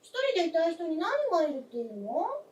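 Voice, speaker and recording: Genteel family woman, candid, high and lively in pitch, for old-style radio drama. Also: fast, a little hiss or hum. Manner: polite, feminine old-fashioned speech. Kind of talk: Japanese radio drama acting on stage. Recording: roomy, distant stage recording.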